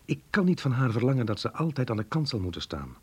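An actor playing a troubled, self-reproaching married man, confiding in his diary in a radio drama with a breathy, husky voice. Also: mid-range voice, sing-song, fast. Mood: troubled, resigned.

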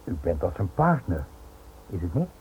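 A man acting a smooth, menacing Italian financier in a radio play, polite, insinuating and pressing. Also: deep voice, sing-song, normal speed. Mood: smooth pressure. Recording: tinny, noisy old radio play recording.

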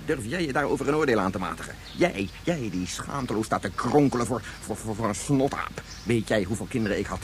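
An actor playing an anguished, regretful scientist of great age in a Dutch science fiction radio play, speaking haltingly and turning back on his own words. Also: lowish voice, lively intonation, a little hiss or hum, close to an everyday voice. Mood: indignant. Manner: halting, repetitive, ruminative. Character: anguished 177-year-old scientist, brooding, regretful father.

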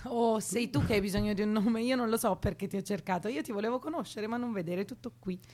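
A woman's voice: mid-range voice, fast talker, mellow, natural voice. An actress playing a guarded, restless young woman activist in a radio drama, speaking in an intimate, colloquial way that moves between teasing and earnest. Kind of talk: naturalistic Italian radio drama dialogue.

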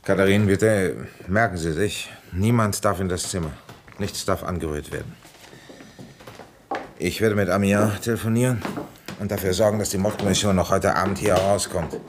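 An actor playing a weary, dry police inspector in a radio crime play, measured and matter-of-fact as he narrates and questions. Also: low-pitched, slight background noise, lightly acted. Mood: measured, sober.

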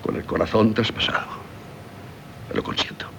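An actor playing a middle-aged, devoted and sorrowful doctor husband in a radio drama, solemn and theatrical, with a Castilian Spanish accent. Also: male voice, fairly low pitch, big pitch swings, normal speed, gravelly, fairly bright tone, exaggerated voice. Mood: sorrowful resignation. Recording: slightly noisy 1970s radio recording.

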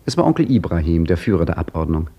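A gravelly old caretaker. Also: male voice, low voice, big pitch swings, quick pace, dull audio, heavily acted. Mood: wry. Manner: dry, gently teasing, storytelling. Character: aging Egyptian embassy caretaker, wry and patient. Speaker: narrator and main character. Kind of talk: 1950s radio play acting.

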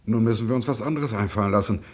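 An actor playing an impatient, scheming gangster in a satirical radio play. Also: male voice, lowish voice, racing, husky. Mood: impatient, soothing. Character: impatient, scheming gangster calming his henchman.

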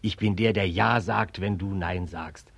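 Low, husky, mysterious inner voice. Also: male voice, fairly even intonation, fairly bright tone, no room sound, exaggerated voice. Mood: insistent. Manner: incantatory, deliberate, probing. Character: mysterious, persistent male inner voice, insistent yes-sayer. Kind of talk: 1940s radio drama acting.

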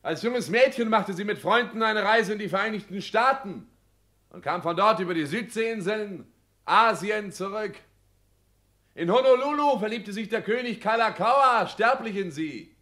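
An actor playing a pompous, self-important husband in a 1960s German radio play, declaiming and lecturing with affected French phrases. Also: male voice, high voice, lively intonation, normal speed, pushed and bright. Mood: nostalgic, grand.

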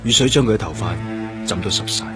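A man's voice: fairly low pitch, lively intonation, fast, music in the background, lightly acted. A man acting in a Cantonese radio drama with a Hong Kong accent, playing a grief-stricken police detective who also narrates. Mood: numb, sorrowful. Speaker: actor.

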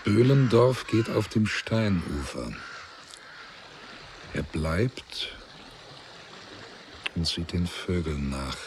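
A man narrating a literary radio drama in a grave, measured way, with deliberate pauses. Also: low voice, lively intonation, noisy background, exaggerated voice. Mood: grave, reflective.

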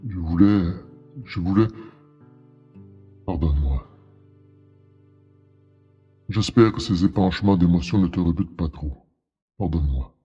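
A man playing an emotional, apologetic young man in love in an audio drama, with a husky, hesitant voice. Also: low-pitched, measured pace, pauses often, mellow, soft background music, full character voice. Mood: tender, pleading. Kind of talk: French audio fiction acting. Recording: dull sound with long hall reverb.

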